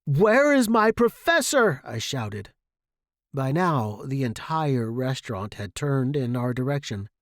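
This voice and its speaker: Man acting as earnest male musician, self-assured, theatrical, emphatic delivery, mid-pitched voice, dramatic story narration, close dry recording. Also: very animated, slow, no room sound. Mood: agitated, then tense recounting. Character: earnest, self-assured male saxophonist recalling his mentor. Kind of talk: dramatic first-person monologue reading.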